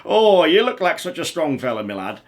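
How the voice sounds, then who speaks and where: A man's voice: a little high, sing-song, a little fast. A man voicing a doddery, polite old man from a children's storybook, stammering and muddling his words. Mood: hesitant, pleading.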